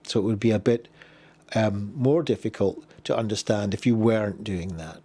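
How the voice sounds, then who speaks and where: A man leading a guided body scan meditation, giving gentle, unhurried instructions with pauses between phrases. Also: on the low side.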